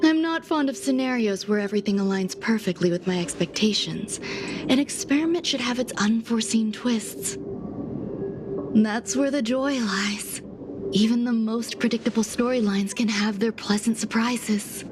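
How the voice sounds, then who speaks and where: A woman playing a reserved, detached genius scientist in a video game, speaking in measured, formal, reflective lines. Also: on the high side, background noise, close to an everyday voice. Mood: composed, reflective. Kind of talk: scripted video game character dialogue. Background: background music.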